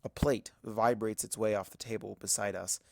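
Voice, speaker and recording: A man's voice: lowish voice, steady tone, moderate pace, gravelly, dry recording. A man reading a first-person story aloud as dramatic scripted narration. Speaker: audiobook narrator of fan fiction.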